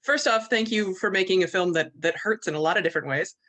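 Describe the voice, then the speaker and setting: A woman's voice: medium pitch, fast talker, fairly bright tone. A woman on a video call asking a long, thoughtful question that winds through several points.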